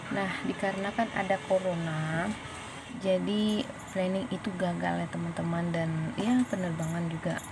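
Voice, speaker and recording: A woman's voice: on the low side, fairly even intonation, moderate pace. A woman from Indonesia with an Indonesian accent, telling a story casually and chattily to her viewers as a vlogger. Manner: conversational, addresses viewers as friends. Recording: noisy, with vehicle and ambient sound.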